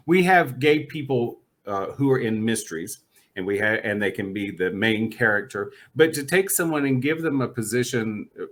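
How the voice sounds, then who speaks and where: A man's voice: fairly low pitch, a bit bright. A man hosting a podcast interview, chatty and enthusiastic, laughing often as he talks books with a guest. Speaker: podcast host. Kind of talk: casual interview chat.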